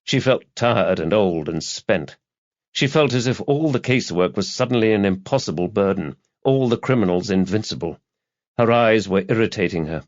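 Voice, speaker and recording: Male audiobook narrator, lower-pitched, dramatic scripted crime narration, dry close sound, slightly dull recording. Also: animated, normal speed, dry recording.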